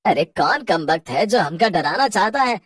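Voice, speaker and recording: A woman voicing an excitable, boastful village husband with a rustic Hindi accent in a horror cartoon. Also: medium pitch, normal speed, breathy edge, dry recording, clean studio sound. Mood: cocky. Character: boastful village husband, cocky but easily terrified. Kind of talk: cartoon horror story acting.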